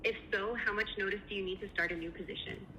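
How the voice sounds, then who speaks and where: Lady recruiter, super bright voice, talks fast, polite n polished, slightly noisy call. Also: mid-range voice, fairly even intonation. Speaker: AI recruiter interviewer. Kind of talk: scripted phone screening interview.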